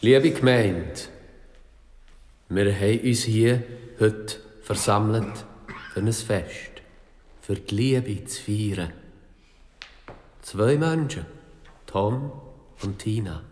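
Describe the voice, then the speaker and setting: An actor playing a fanatical, ecstatic pastor who preaches in halting, repetitive incantations in a radio drama. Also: male voice, on the low side, darkish tone. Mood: solemn. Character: fanatical male pastor at a sinister wedding, ecstatic. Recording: echoey church hall, music behind.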